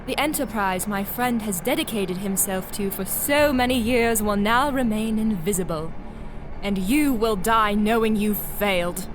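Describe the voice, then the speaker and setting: A woman playing an embittered young villainess in an audio drama, speaking in a cold, deliberate, scornful manner. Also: medium pitch, music in the background, natural voice, rough recording. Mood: scornful triumph.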